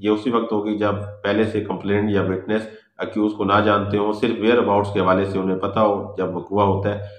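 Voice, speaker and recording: A man's voice: lowish voice, flat delivery, normal speed. A man with a Pakistani accent giving an explanatory law lecture, reading out points in English and then explaining them didactically. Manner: didactic, switches between English phrases and explanations. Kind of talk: explanatory lecture mixing read-out points and commentary. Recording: echoey room.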